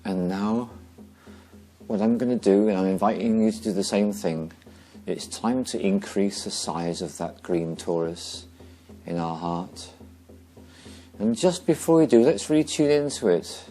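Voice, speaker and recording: A man leading a guided meditation slowly and gently, pausing between short phrases. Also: on the low side, faint music behind.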